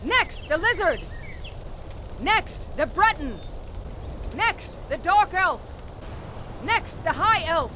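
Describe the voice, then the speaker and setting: A stern, impatient woman captain in a fantasy video game. She barks curt orders at an unhurried pace with strong swings in pitch, over clear outdoor background noise. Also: fairly bright tone. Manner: curt, commanding, barking orders. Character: stern, impatient female Imperial army captain. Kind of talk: video game character acting. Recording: noisy outdoor game scene ambience.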